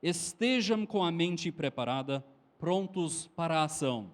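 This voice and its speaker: A man from Texas with an American accent, preaching a sermon in a language not his first, slowly stressing each command. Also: a little high, expressive. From Texas, United States. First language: English. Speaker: pastor.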